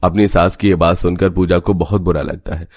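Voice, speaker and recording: A man's voice: sits low, little pitch movement, brisk, a little mellow, no room sound, studio quality. A man reading scripted narration for a cartoon story. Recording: music playing behind the voice. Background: background music.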